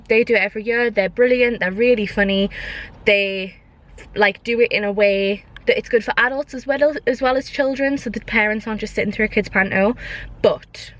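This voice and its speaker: A woman's voice: medium pitch, rough recording. Woman chatting casually. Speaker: vlogger. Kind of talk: casual vlog chat. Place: inside a car.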